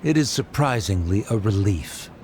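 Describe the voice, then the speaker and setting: A man's voice: lowish voice, lively intonation, normal speed, background noise, natural voice. An actor playing a cold, condescending scholar father in a game dub, pompous and aloof in his delivery. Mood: disdainful, relieved. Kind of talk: dramatic game dialogue. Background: prominent background music.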